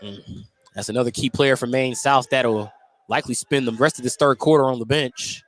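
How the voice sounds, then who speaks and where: A man's voice: medium pitch, fairly even intonation, normal speed, fairly bright tone. A man doing live play-by-play basketball commentary on radio, calling each play in clipped, descriptive phrases. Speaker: play-by-play announcer. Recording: noisy live sports broadcast.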